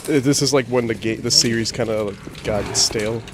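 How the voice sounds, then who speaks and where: A man with a boomy voice, casually chatting while streaming a game from home and talking to his pet. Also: a little fast, background noise. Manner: relaxed, rambling, talks to his pet. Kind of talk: casual livestream chat. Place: home, indoors. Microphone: desk microphone.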